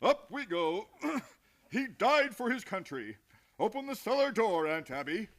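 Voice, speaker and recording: A man's voice: high-pitched, sing-song, a little slow, fairly bright tone, soft background music, full character voice. An actor playing a deluded, earnest man who believes he is President, pompous and declaiming, in old-time radio comedy style. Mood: grandly earnest.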